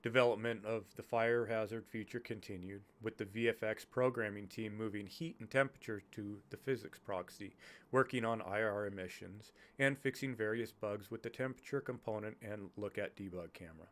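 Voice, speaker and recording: Man, low-ish voice, nasal and stuffed-up, halting read-aloud of technical notes. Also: a bit bright. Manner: halting reading with stumbles over technical terms. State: congested from illness. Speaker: YouTuber reading game development notes. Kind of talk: reading a report aloud.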